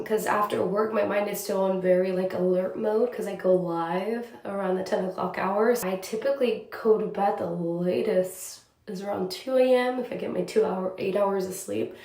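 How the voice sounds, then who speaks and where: A young woman who is a news reporter, chatting casually in a vlog, a bit congested and raspy from a cold, with many filler words. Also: mid-range voice, a little mellow. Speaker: TV news reporter vlogging her day. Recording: echoey room.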